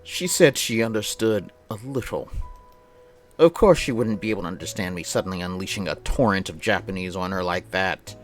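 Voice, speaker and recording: A man hosting a live game stream, chatting with viewers and reading visual novel narration aloud in an animated, chatty way. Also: deep voice, moderate pace, soft background music.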